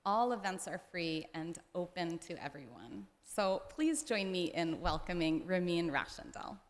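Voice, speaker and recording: A woman's voice: on the low side, expressive, measured pace. A woman leading a service, steadily reading a guest introduction and formal announcements. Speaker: service leader. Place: church sanctuary. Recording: reverberant hall.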